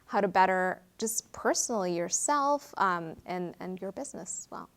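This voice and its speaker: A woman's voice: medium pitch, animated, normal speed. Earnest woman from Fiji, thoughtful interview talk. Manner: earnest, reflective, frequent fillers. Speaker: guest, real estate attorney. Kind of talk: interview conversation.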